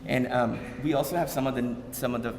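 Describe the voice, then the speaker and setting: A man from Bangladesh talks to an audience in a loose, unscripted way. He stops and restarts often and leans on fillers. His voice sits at a middle pitch and carries a long hall reverb. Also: steady tone. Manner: halting, many fillers and repeated starts. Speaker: community speaker. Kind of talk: informal public talk to an audience. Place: event hall.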